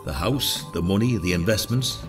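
Older male sleuth, played by an actor, low lively voice, gruff yet kind, for a village murder podcast. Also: normal speed, soft background music, close to an everyday voice. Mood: wry, reflective. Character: shrewd retired village detective, gruff but kindly. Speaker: lead actor in a podcast drama. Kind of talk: audio drama acting and narration.

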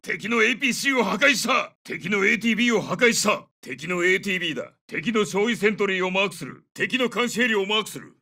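An actor playing a middle-aged special forces captain, giving terse, commanding tactical callouts in a video game dub. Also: male voice, high voice, pushed and bright, far from the mic, full character voice. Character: gruff bearded veteran male special forces captain. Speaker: video game soldier character.

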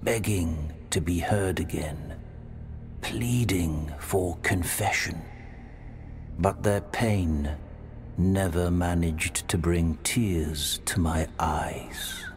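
An ancient dead confessor for a dark fantasy game. He speaks extremely slowly in a low register, grave and weary, lamenting with great ceremony. Ambient noise sits behind the voice. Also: exaggerated voice. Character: solemn, weary old undead preceptor, mournful confessor. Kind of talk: slow dramatic game monologue.

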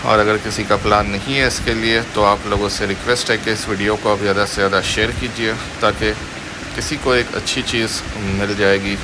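Man, Indian accent, lowish voice, flat intonation, casual promotional voice-over, clearly noisy recording. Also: a little fast. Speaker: video host promoting livestock for sale.

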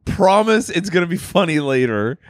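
A man's voice: high voice, big pitch swings, normal speed, no room sound, clean studio sound. A man co-hosting a comedy podcast, rambling through stories in casual chat and laughing often. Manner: loose rambling storytelling, laughs a lot. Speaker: podcast co-host.

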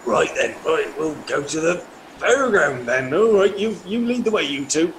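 A young man playing a gruff, bossy pirate captain in a children's puppet show, with theatrical exclamations, easily confused. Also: fairly high pitch, very animated, moderate pace. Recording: roomy, noisy home recording.

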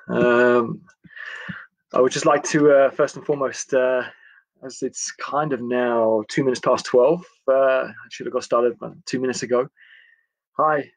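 A man in his forties with an English accent, talking informally on a livestream as a coach in a friendly, chatty, halting way. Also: medium pitch, creaky, no echo, rough recording. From England. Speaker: football coach giving a livestream talk. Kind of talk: informal live talk to camera. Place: indoors, small attic room.

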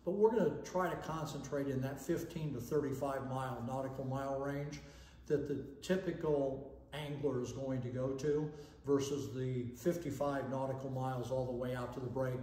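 A man's voice: mid-range voice, fairly even intonation, normal speed, mellow, a little hiss or hum. An older man giving a fishing seminar to camera, explaining in a folksy, instructional way and checking in with "okay?". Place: indoor room with fireplace and banner. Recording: echoey room, long reverb.

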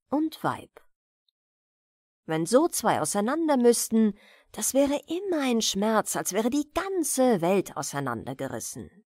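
A woman narrating a fairy tale as an audiobook storyteller, deliberate and expressive, with dramatic pauses. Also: fairly high pitch, dry recording, studio quality. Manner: deliberate, dramatic pauses between short phrases.

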